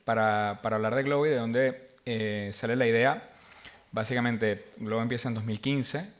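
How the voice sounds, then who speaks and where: A man from Venezuela speaking as a panel guest, answering at length in a halting way with many fillers and restarts. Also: mid-range voice, steady tone. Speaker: panel guest, tech executive.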